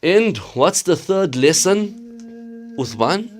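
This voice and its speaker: Patient sheikh teaching class. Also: male voice, high voice, sing-song, exaggerated voice. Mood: patient, instructive. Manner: measured, instructive, lesson by lesson. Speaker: Islamic studies teacher. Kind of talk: scripted audio drama acting.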